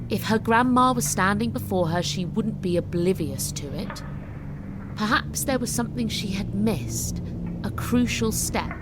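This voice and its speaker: A woman's voice: mid-range voice, background noise. A woman giving scripted, dramatic story narration as an audiobook narrator. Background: music.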